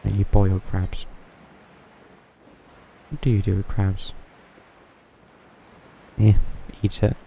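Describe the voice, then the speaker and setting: A man with a dark, relaxed voice commentates casually on a game stream. His delivery is dry and sarcastic, with a gravelly fry in it. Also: fairly low pitch, slow pace, long pauses, a little hiss or hum. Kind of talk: casual live gameplay commentary.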